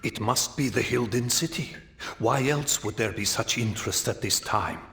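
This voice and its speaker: An actor playing an ancient, solemn vampire elder in a fantasy game, speaking with measured, formal, portentous gravity. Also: male voice, mid-range voice, fairly bright tone, lightly acted. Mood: commanding. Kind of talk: theatrical fantasy game cutscene acting.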